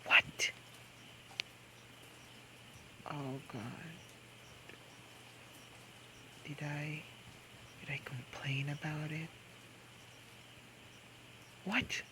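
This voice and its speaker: A man playing a drunk, clingy, playful young boyfriend in an intimate ASMR roleplay, with slurred, coaxing, halting speech. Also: on the high side, little pitch movement. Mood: surprised, flustered. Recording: noisy homemade microphone recording.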